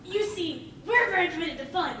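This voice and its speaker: Woman as very high-pitched naive little lost boy. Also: expressive, moderate pace, fairly bright tone. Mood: earnest, curious. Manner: earnest, childlike. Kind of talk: live stage comedy acting. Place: theatre stage, live performance. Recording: very echoey theatre, distant mic, faint noise.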